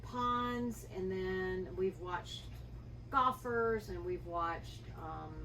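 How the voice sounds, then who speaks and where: Over clearly audible noise, a woman chats in a relaxed, unscripted way as a travel vlog co-host. Her mid-pitched voice is picked up from a little distance. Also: drawn-out words. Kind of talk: casual unscripted chat with partner. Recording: distant mic, clearly noisy background.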